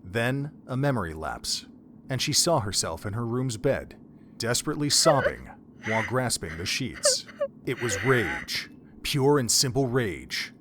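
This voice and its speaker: A man's voice: medium pitch, big pitch swings, measured pace, noisy background. A man narrating a dramatic story from a script, as the narrator of an audio drama.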